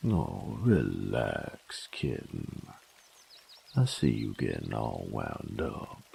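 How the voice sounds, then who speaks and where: A man playing a cocky, sadistic bandit in an audio roleplay, with a gruff, teasing drawl. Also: huge pitch swings, very slow, mellow, slight background noise, full character voice. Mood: amused, reassuring. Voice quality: gravelly, very deep drawl. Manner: drawling, teasing, folksy, crude. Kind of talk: intimate audio roleplay drama.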